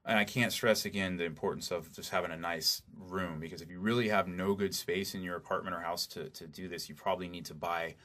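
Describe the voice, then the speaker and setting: Very deep-voiced man explaining casually. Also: fairly even intonation, fairly quick, few pauses, bright, forceful tone. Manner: explanatory, informal. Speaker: recording engineer, interview guest. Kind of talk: casual interview, explaining practical advice.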